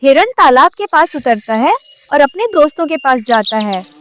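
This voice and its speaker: A woman's voice: sits high, sing-song, normal speed, slight background noise. A woman narrating a scripted children's moral story as a storyteller.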